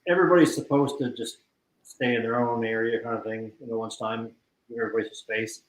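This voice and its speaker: Man, wry guest, quick talker, echoey room. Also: medium pitch, fairly even intonation. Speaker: guest, treasure hunt crew member. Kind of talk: casual chat.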